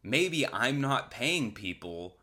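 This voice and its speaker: Bright-voiced man on a casual comedic rant. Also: mid-range voice, sing-song, slow pace. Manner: profane, colloquial, sarcastic; acts out imagined quotes. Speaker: podcast host.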